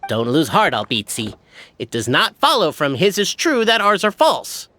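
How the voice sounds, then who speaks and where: Bright, swooping brash game character. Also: male voice, fairly high pitch, wildly swooping pitch, moderate pace, heavily acted. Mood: reassuring. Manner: boastful, pseudo-logical. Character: proud, brash, argumentative male clan member. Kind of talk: video game character acting.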